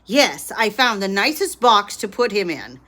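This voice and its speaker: A woman's voice: medium pitch, sing-song, measured pace, sharp tone. A woman voicing a cheerful, earnest, literal-minded housemaid who is eager to please in a children's storybook. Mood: innocent, eager.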